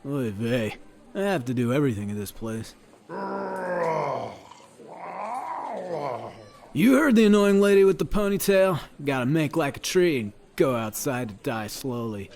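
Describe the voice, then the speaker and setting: A man's voice: medium pitch, animated, moderate pace, a little mellow, lightly acted. A man playing a self-important, oblivious restaurant worker in a comedic radio play, brash and flippant. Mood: cocky annoyance.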